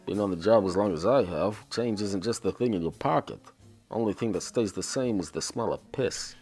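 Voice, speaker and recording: Man acting a deep-voiced gruff cynical cop. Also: normal speed, darkish tone, faint music behind, close to an everyday voice. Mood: weary, sardonic. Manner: curt, world-weary, sardonic. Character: gruff cynical shady male police officer. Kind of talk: scripted noir audio drama.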